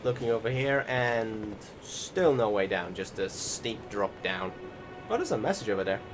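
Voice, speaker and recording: Low-voiced man rambling over a video game. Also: expressive, normal speed. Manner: rambling, hesitant, swears casually. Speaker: gaming video commentator. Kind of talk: casual voice-over game commentary. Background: game music behind the voice.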